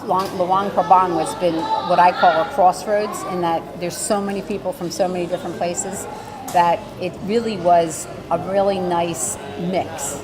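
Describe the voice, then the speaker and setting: A woman's voice: lowish voice, steady tone, normal speed, noisy background. A woman chatting casually in an interview. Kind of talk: casual chat. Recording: music and crowd chatter behind.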